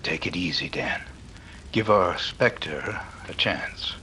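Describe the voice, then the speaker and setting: Man acting a bright, lowish-voiced, courteous detective. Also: lively intonation, a little hiss or hum, exaggerated voice, low-quality recording. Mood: calm. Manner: formal, measured, courteous. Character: Spencer Dean, cool, courteous, skeptical detective. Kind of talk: recreated 1930s radio drama acting.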